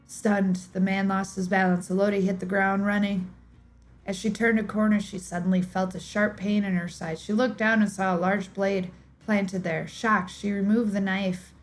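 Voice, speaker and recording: Female streamer for dramatic lore narration, theatrical and measured, mid-range pitch, music underneath. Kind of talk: dramatic reading of game lore.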